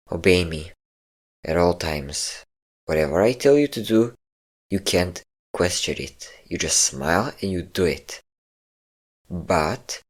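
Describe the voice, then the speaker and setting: Deep-voiced, smug vampire lord played by a man. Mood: controlling, pleased. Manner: smug, teasing, drawn-out endearments. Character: possessive, mocking male vampire lord, obsessive lover. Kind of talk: intimate audio roleplay acting.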